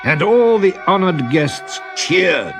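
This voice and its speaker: A man narrating a children's story in a dramatic, deliberate way, with expressive swells and hushes. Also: high-pitched. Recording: music behind the voice.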